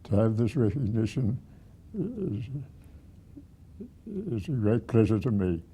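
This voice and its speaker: A man of about a hundred with a husky, aged voice, reminiscing haltingly as a retired museum director. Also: lowish voice, big pitch swings, warm tone, a little hiss or hum. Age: 100. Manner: halting, with repeated words and pauses.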